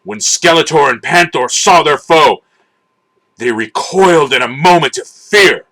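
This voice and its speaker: A man giving a dramatic, theatrical and deliberate scripted narration of a children's storybook. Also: on the high side, expressive, bright, forceful tone, low-quality recording.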